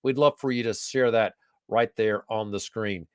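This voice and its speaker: A man's voice: lowish voice, moderate pace, clean tone, no echo, clean studio sound. A man hosting a casual live online interview, chatty and playful as he welcomes his guest. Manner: chatty, jokey, animated. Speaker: host. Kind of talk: casual livestream interview chat. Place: Atlanta area.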